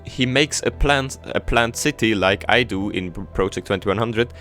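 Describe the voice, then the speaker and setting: A man's voice: lowish voice, moderate pace, a bit bright, soft background music. A young man whose first language is German, chatting casually over his city-building game with many fillers and restarts. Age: teens. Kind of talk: casual gameplay commentary.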